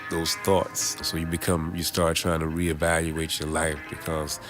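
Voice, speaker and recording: Deep, even-voiced man over music. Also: a little slow. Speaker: poetry professor, former prisoner. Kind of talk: reflective interview talk.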